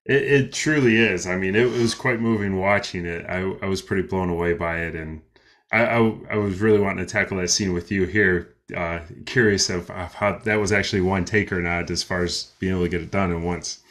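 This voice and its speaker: A man's voice: low-pitched, fairly even intonation, a little fast, a bit bright. A man hosting an interview, asking questions casually with stammers and restarts. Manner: stammering, laughs easily. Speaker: interview host.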